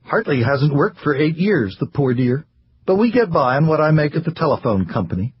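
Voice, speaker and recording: A complacent, pious housewife voiced by a man speaks in a higher, sing-song tone, sweetly condescending to her husband. The voice is husky and the recording dry and dull, like a lo-fi audiobook. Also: very animated, normal speed, heavily acted. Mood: placid, sugary. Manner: placid, sugary, turning bitter and self-pitying. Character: cheerful, smug, pious middle-aged wife.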